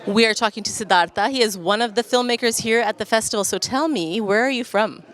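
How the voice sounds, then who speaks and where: A woman's voice: on the high side, fairly quick. A woman interviewing guests casually at a festival for television, with an effusive, encouraging manner.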